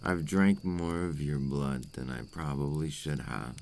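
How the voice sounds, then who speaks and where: A man playing a brooding, remorseful male vampire in an intimate ASMR roleplay, speaking in a deep, gravelly voice with slow, formal phrasing. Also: a little mellow, a little hiss or hum. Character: remorseful adult male vampire, brooding and tormented by his curse.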